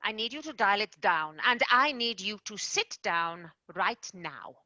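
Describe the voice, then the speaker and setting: A woman playing a formal, measured manager of tooth fairies, in an amateur radio play. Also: dry recording, muffled recording. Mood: formal, brisk. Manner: formal, measured, lively pitch. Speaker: manager firing an agent.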